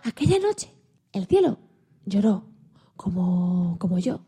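A woman voicing a timid, hopeful young male dog who tells his own story in a children's radio play, expressive and a little halting. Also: medium pitch, soft background music. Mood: lonely then hopeful.

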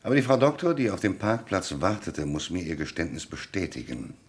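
A low, animated male voice plays a shrewd commissioner in a German radio crime play. He is husky and insistent, laying out each point methodically and pressing for answers with theatrical emphasis. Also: fairly quick, exaggerated voice. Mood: formal, commanding. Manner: methodical, probing, declamatory interrogation.

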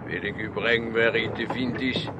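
A man's voice: fairly low pitch, steady tone, brisk, over loud music, lightly acted. A man playing an eager, money-minded foreign taxi driver in a radio drama, speaking broken sentences with a foreign accent. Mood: eager, helpful. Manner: broken grammar, hustling. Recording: muffled, loud street and engine noise.

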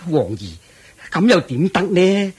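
A very raspy old Cantonese king. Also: sits high, big pitch swings, unhurried, darkish tone, exaggerated voice. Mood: helpless, pleading. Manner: hesitant, protesting. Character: older king, anxious, possessive, helpless father. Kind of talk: radio drama acting.

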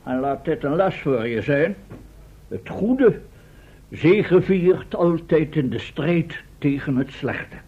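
Preachy professor type, high husky voice that swoops around, old radio play. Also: male voice, expressive, takes it slowly, warm tone, full character voice. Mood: self-righteous. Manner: preachy, theatrical. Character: pompous, moralizing professor, easily alarmed. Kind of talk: radio drama acting. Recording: dull, lo-fi radio drama recording.

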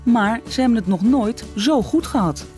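A woman narrating a radio play in calm, even scripted narration. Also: on the high side, moderate pace, soft background music.

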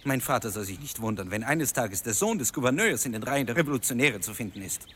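Resentful young son of a powerful father, male actor, radio drama, emphatic and scornful, medium pitch, slight background ambience. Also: animated, fast, fairly bright tone, slight background noise, natural voice. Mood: bitter, scornful. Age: young adult. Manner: bitter, emphatic. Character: resentful, rebellious young student, son of the governor. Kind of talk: German radio drama acting.

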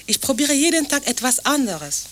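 Lively, direct young woman. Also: sits high, moderate pace. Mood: wry. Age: young adult. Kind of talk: German radio play dialogue.

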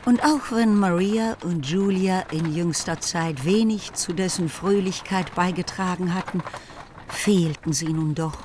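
A woman's voice: on the low side, a little slow, background noise. A woman reading scripted literary narration for a radio drama in a composed manner.

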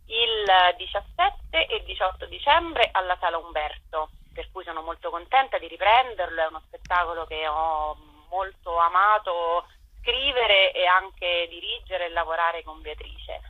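A woman's voice: lowish voice, expressive, a little slow, narrow, tinny audio. A woman giving a radio interview as a theatre writer and director, repeating words as she searches for phrasing, over a telephone.

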